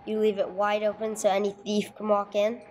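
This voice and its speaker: A woman's voice: mid-range voice, completely flat delivery, brisk, darkish tone, natural voice. A woman playing a no-nonsense police sergeant who narrates a case in a radio play, clipped and matter-of-fact. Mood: businesslike, probing. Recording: clear background noise, room ambience.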